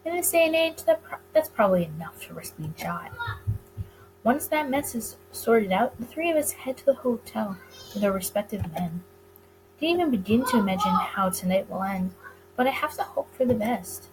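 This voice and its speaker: Female game reader, slightly high voice, narrating a story with small stumbles, faint background noise. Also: animated, moderate pace, poor audio. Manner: stumbles over words and rereads them. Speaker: let's-play reader of a visual novel. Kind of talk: reading a visual novel aloud, narration and character lines.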